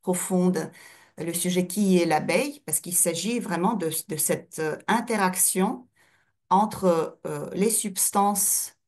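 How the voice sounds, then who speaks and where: A woman's voice: on the low side, slow pace, a little mellow. A middle-aged woman, giving an introductory lecture as a guest speaker, hesitating as she searches for words. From Russia and Ukraine.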